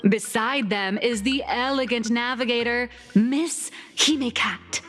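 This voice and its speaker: A woman's voice: fairly high pitch, slow pace, a bit bright. A woman playing a theatrical young comic artist in an anime game, lecturing like a self-assured, mock-scholarly teacher. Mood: storytelling. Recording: long reverb, music behind.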